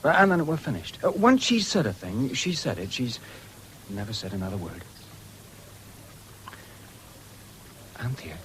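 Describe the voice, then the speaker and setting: An actor playing an earnest young farm lad in a British radio drama, halting but determined. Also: male voice, mid-range voice, sing-song, a bit bright. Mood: hesitant, subdued. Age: young adult. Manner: hesitant, stumbling over words. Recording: noisy old radio broadcast recording.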